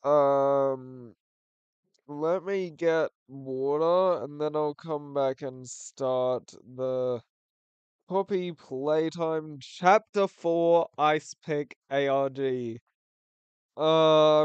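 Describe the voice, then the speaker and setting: A man's voice: a little high, smooth, dry recording, dull audio. A man who is sick and losing his voice, chatting casually and hesitantly to his viewers, thinking aloud as he goes. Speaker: gaming channel host. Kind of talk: casual chat to camera.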